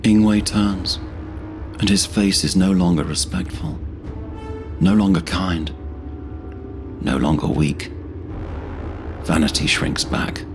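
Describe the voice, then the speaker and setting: A man narrating a scripted fantasy drama in a measured, solemn, dramatic way. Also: low voice. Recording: voice under loud music.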